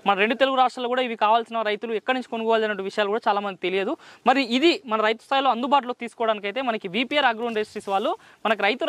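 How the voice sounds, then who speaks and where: Quick young guy asking farm questions outdoors, high voice, dry close lapel sound. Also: a bit bright, no echo. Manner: quick, prompting, repeats answers back. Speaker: host interviewing a farmer. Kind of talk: agricultural interview. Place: outdoors on a farm beside a tractor.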